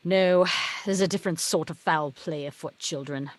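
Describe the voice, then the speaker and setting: A woman's voice: sits low, normal speed, lightly acted. A woman reading the part of a wry, knowing, articulate heavenly muse in a film script read-through. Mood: wry explaining.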